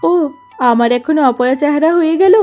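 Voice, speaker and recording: A woman voicing a jealous, sharp-tongued young wife and mother in a melodramatic cartoon story, indignant in manner. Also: high voice, normal speed, faint music behind, close to an everyday voice. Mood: hurt, indignant. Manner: scolding, indignant.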